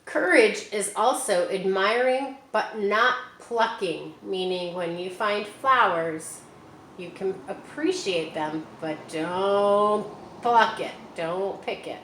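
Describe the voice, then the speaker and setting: A woman reading a picture book aloud to children, stopping often for gentle, chatty asides. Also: medium pitch, slow. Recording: echoey room with faint hiss.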